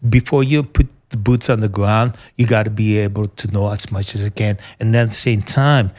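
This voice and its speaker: A middle-aged man with a New York accent, a military veteran answering interview questions earnestly, often pausing to search for words. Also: fairly low pitch, steady tone, darkish tone. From United States. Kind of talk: conversational interview.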